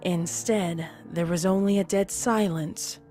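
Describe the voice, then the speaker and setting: A woman's voice: on the low side, fairly even intonation, measured pace, dark tone, soft background music. A woman reading a scripted story as a dramatic narrator.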